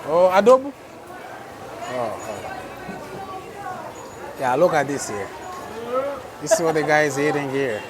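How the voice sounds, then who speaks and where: Slow-talking man vlogging a busy market. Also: on the high side, sing-song. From Texas. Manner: chatty, slow, with frequent wondering exclamations. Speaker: travel vlogger. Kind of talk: casual walking vlog narration. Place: busy outdoor night food court. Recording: noisy crowded street market recording. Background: crowd chatter and traffic.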